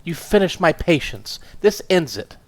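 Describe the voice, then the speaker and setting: A man's voice: a little high, very animated, normal speed, voice pushed up. A man voicing a small, furious married woman who screams and scolds her husband. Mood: furious scolding. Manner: shrieking, scolding.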